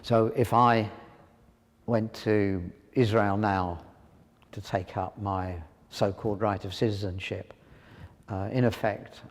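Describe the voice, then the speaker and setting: Low-voiced man, deliberate and earnest. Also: long reverb tail. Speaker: interviewee. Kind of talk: interview answers.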